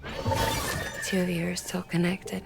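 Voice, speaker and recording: A woman playing a gentle, frail, weary woman in a cinematic video game, speaking softly and with measured care. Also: on the low side, very animated, creaky, over loud music, full character voice. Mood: weary, wistful.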